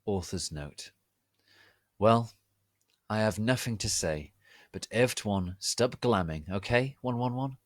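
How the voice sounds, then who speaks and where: Theatrical man reading, widely swooping pitch. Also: expressive, slow pace, pauses often, no echo, studio quality. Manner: theatrical, exaggerated, reads typos literally. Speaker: reader. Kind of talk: dramatic reading of fan fiction.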